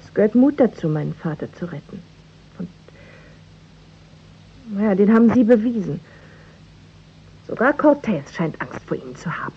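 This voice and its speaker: A woman's voice: medium pitch, sing-song, normal speed, pauses often, slightly rough, dull audio, heavily acted. A woman acting an earnest, determined daughter of a missing scientist in a 1960s German radio play, speaking insistently.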